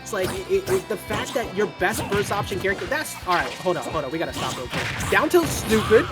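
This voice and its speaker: An esports commentator calling a fighting game match live, whose voice turns squeaky when hyped. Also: very animated, fairly quick, over loud music.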